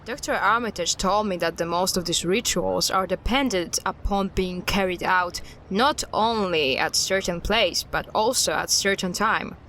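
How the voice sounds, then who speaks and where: An actress playing a level-headed, decisive investigator in an audio drama, with a practical, deliberate manner. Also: female voice, mid-range voice, a bit bright, slight background noise, close to an everyday voice. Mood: measured, serious.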